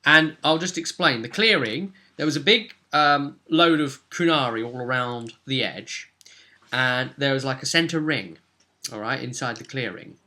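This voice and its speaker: A man's voice: mid-range voice, lively intonation, a little slow, pushed and bright. A man working as game master, recapping a tabletop role-playing session in an informal, rambling storytelling way.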